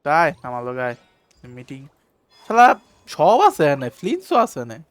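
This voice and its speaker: Rapid-fire man commenting on gameplay. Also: a little high, sing-song, dry recording. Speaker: gameplay streamer. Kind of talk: casual gaming commentary.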